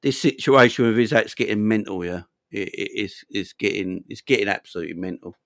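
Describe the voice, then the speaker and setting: A man hosting a casual football chat, rambling, animated and a bit sweary. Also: on the low side, fairly quick, no room sound, clean studio sound. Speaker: football channel host.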